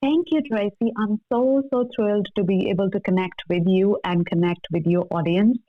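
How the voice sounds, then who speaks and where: A woman's voice: mid-range voice, moderate pace, no echo. A woman speaking as a coaching guest in a conversational interview, earnest, emphatic and motivational. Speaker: love coach, podcast guest. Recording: thin phone-like call audio.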